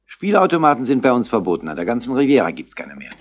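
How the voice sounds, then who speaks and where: An actor playing a brisk, sceptical local policeman in a 1960s German radio crime play, with a questioning manner. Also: mid-range voice, huge pitch swings.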